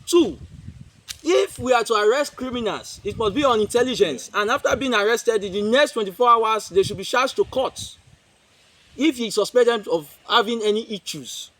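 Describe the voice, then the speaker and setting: A man's voice: extremely high pitch, expressive, normal speed, a bit bright, low-quality recording. A man with a Nigerian accent giving an emphatic press statement, repeating himself and posing rhetorical questions. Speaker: community group spokesman.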